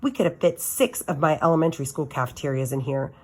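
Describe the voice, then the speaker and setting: Low-voiced woman reading a story aloud. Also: lively intonation, quick pace, breathy edge. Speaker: teacher reading aloud to students. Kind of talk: expressive children's novel read-aloud.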